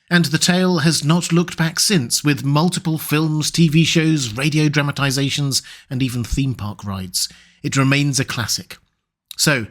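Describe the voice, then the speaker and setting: Calm male audiobook narrator. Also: mid-range voice. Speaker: audiobook narrator and channel host.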